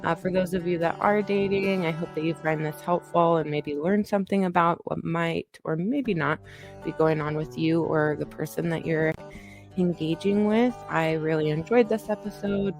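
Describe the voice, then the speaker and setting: A woman co-hosting a podcast in casual, tired-sounding chat that is relaxed and full of laughter. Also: lowish voice. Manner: laughs often, relaxed and chatty. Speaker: podcast co-host.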